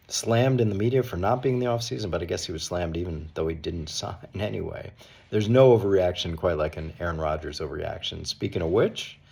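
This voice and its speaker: A middle-aged or older man with a cold, hoarse and slightly raspy, giving conversational, opinionated sports commentary as the host of a daily show. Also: fairly low pitch, darkish tone.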